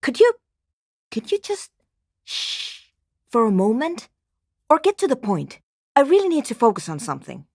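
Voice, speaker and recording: A woman's voice: on the high side, huge pitch swings, normal speed, pauses often, darkish tone, no room sound, clean studio sound, heavily acted. A woman playing a guarded, impatient and anxious programmer in a video game, with a terse, precise and matter-of-fact way of talking. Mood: irritated. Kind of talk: scripted game character dialogue.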